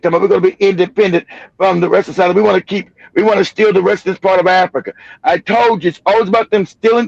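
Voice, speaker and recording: A man hosting a livestream, talking in an animated, emphatic way, swearing and repeating himself. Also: high-pitched, gravelly, no echo. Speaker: livestream host. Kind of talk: heated livestream commentary. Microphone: streaming mic. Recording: dry, dull lo-fi stream audio.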